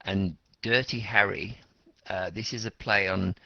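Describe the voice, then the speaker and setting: A man at the front of a university language class, his low voice carrying through a muffled recording as he quizzes students and spells out meanings. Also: fairly even intonation, measured pace, creaky, pushed and bright, no echo. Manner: explains words simply, repeating and rephrasing. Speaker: teacher of an English class. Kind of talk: interactive classroom lecture, questioning students.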